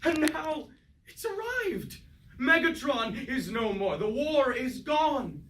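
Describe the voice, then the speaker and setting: Anguished high-voiced warrior played by a man, declaiming. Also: big pitch swings, takes it slowly, a bit bright, exaggerated voice. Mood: frantic, anguished. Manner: declamatory, archaic poetic phrasing. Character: Bumblebee, anguished guilt-ridden male robot warrior. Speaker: stage actor. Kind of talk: staged reading of mock Greek tragedy. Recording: distant roomy stage recording, faint noise.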